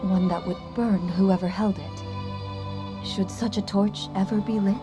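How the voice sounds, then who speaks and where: A woman playing a brooding, despairing pupil in a story game, speaking solemnly and deliberately. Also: mid-range voice, steady tone, lightly acted. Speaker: voice actor. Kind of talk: dramatic game voice acting. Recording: very loud new-age music behind the voice.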